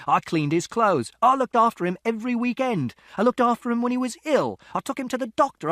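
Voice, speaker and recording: A man reading aloud who voices a furious, desperate father, shouting and swearing, then pleading. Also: mid-range voice, no room sound, clean studio sound, natural voice.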